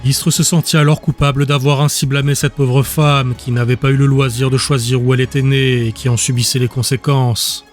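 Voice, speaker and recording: A man narrating a dark fantasy audiobook in a steady, measured storytelling voice. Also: mid-range voice, over music. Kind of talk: scripted narration.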